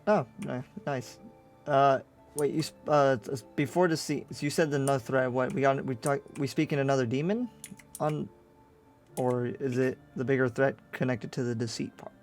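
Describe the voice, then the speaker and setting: Mild, halting male ally played by a man, music behind. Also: medium pitch, steady tone, lightly acted. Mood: curious, puzzled. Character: agreeable, curious male visitor and ally. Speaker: roleplay series player. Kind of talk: improvised anime roleplay in a Minecraft video.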